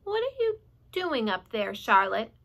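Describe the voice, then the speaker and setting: Woman reading as a worried little boy pig, high and pleading, stumbles over words. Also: very animated, a little slow, vocal fry, fairly bright tone, muffled recording, heavily acted. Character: timid young male pig, anxious and pleading.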